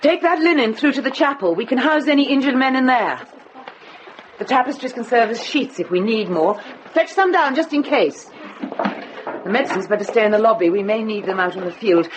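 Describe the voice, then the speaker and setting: An actress playing a commanding older nun in a radio drama, brisk and dryly wry, kindly underneath. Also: female voice, fairly high pitch, animated, close to an everyday voice. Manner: brisk, dry, authoritative. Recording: noisy ambience behind dialogue.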